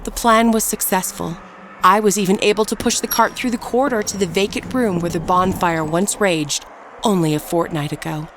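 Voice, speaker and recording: A woman playing a ruthless, unrepentant ageless murderess, narrating her tale in a measured, dramatic audio drama style. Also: medium pitch, background noise, natural voice. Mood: cold, gloating. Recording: narration over prominent background music. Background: music.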